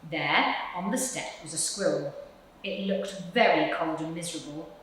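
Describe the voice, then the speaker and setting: A woman reading a children's picture book aloud with expressive, dramatic storytelling emphasis. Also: low voice, normal speed, a little hiss or hum. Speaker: story reader. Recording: very echoey room.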